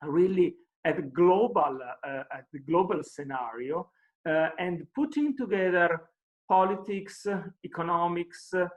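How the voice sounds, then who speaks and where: A man's voice: sits high, unhurried. A male panelist commenting on a book, hesitant with many fillers and restarts. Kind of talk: commenting in a discussion.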